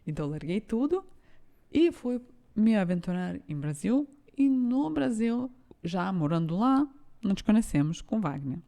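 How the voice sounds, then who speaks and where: A woman's voice: mid-range voice, very animated, a little slow, gravelly, dark tone. A Lithuanian-born woman, co-hosting a podcast and telling stories in a casual, hesitant way as she searches for words. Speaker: podcast co-host. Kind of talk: casual conversational storytelling.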